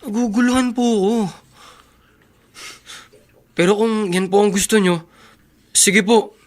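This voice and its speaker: A man's voice: high voice, measured pace. A troubled young son, respectful and hesitant. He speaks as a polite student in a radio drama. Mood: pleading, confused.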